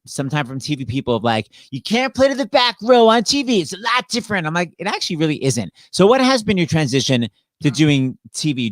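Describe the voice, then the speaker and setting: A man's voice: high-pitched, dry recording. A man hosting a casual video-call chat, playful and teasing as he talks with guests. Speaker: host.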